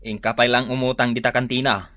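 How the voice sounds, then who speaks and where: A man's voice: medium pitch, level tone, fast, bright, forceful tone, dry recording, close to an everyday voice. An actor playing a boastful, defensive hard-drinking working man with an Ilocano Filipino accent in a comedy radio drama.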